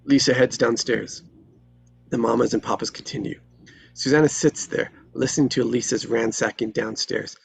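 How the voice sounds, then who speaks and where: A man reading screenplay stage directions as dramatic narration, in terse, deliberate phrases. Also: medium pitch, steady tone, vocal fry, slight background noise.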